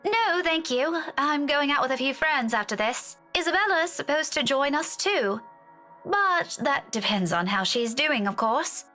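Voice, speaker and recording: A woman's voice: high-pitched, expressive, unhurried, bright, forceful tone, faint music behind, exaggerated voice. An actress playing a polite, easygoing young woman in a visual novel, speaking in a friendly manner.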